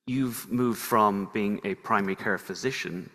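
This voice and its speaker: A man's voice: lowish voice, a little slow, a bit bright. A man moderating a conference panel, polished but with frequent restarts as he frames the discussion. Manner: polished, with restarts as he sets the agenda. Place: large conference hall. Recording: long hall reverb.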